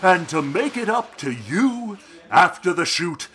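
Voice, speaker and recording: An actor playing a pompous, theatrical cad who declaims grandly, in a comedy audio drama. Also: male voice, sits high, very animated, a little slow, a bit bright, slightly rough, heavily acted.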